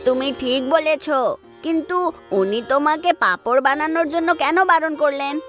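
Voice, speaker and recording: A young cartoon village boy with a high voice. He sounds curious and trusting, over faint music. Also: moderate pace, a bit bright. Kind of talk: dubbed cartoon acting.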